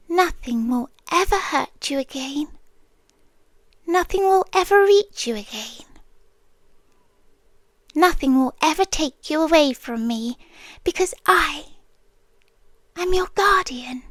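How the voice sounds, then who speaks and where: A woman's voice: sits high, lively intonation, slow, long pauses, fairly bright tone, no echo, full character voice. A British woman voicing a possessive, tender but menacing winged monster girl in an ASMR roleplay, cooing breathily in half-whispers close to the microphone. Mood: soothing, possessive.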